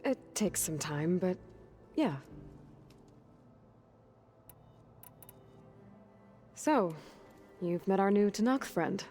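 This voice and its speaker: Young game heroine, low voice, terse, reserved, dry, determined, naturalistic delivery. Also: female voice, lively intonation, a little slow, warm tone, faint music behind. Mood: guarded, dry. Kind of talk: naturalistic video game cutscene acting.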